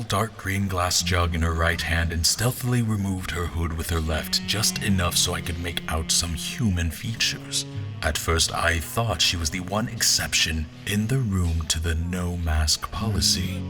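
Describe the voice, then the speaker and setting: Man playing a deep-voiced, formal general. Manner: formal, measured, literary. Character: formal, pompous, troubled male general recounting his memories. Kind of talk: first-person audio drama narration.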